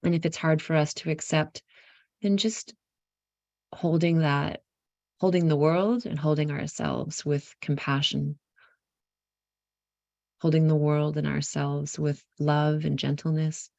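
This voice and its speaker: A woman leading a guided meditation, giving gentle instructions in an unhurried, softly inviting way with pauses mid-phrase. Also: deep voice, fairly even intonation, creaky, no room sound.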